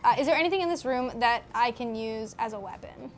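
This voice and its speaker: A woman's voice: a little high, quick pace, a little hiss or hum. A woman playing a tabletop role-playing game, talking casually and bluntly, slipping in and out of character. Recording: music behind the voice. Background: music.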